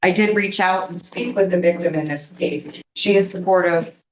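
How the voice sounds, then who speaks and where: Echoey low-voiced woman probation officer. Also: normal speed, a little hiss or hum. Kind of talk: formal report to the court. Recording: echoey, muffled courtroom audio.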